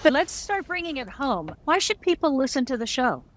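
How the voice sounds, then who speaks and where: A woman's voice: sits high, big pitch swings, normal speed. A woman hosting an interview in an informal, playful, quippy style. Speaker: interview host.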